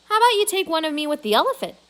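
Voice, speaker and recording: A woman's voice: very high voice, big pitch swings, rapid-fire, a bit bright, voice pushed up, full character voice. A woman voicing a blustering, opportunistic male mall owner with brash salesman talk, in a children's novel read aloud. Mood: eager, boastful.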